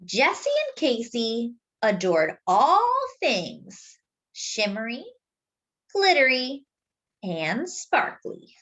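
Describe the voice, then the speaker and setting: Female children's story reader: very unhurried, animated intonation, explanatory and deliberate. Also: fairly high pitch. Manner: deliberate, explanatory for young listeners. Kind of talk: expressive picture-book read-aloud with simple asides.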